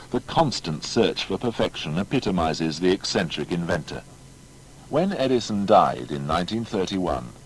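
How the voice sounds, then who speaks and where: Male narrator for audio biography, very low and animated, formal emphatic storytelling, faint noise behind. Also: low-quality recording. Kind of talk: scripted documentary biography narration.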